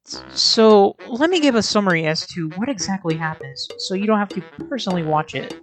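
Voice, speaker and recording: A woman's voice: lowish voice, a little hiss or hum. A woman giving scripted video commentary as an anime reviewer, in an informal, sarcastic way.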